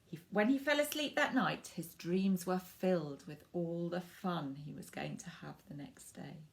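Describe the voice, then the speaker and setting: Woman reading a kids picture book out loud, bit of creak in the voice, really acts up the words. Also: fairly low pitch. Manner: animated, dramatic emphasis with drawn-out words and hushed asides. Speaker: author reading own picture book to children. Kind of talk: expressive storybook reading with asides to young listeners.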